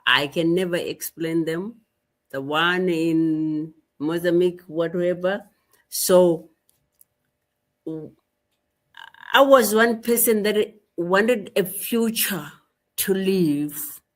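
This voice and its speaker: A middle-aged woman from rural Zimbabwe, a guest telling her story in a slow, measured way and often repeating phrases. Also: fairly low pitch. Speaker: guest activist. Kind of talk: interview storytelling.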